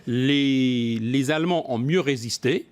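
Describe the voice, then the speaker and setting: A man speaking as an expert guest in a broadcast business interview, often pausing to rephrase and repeat his words. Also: medium pitch. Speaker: business expert guest, former company executive.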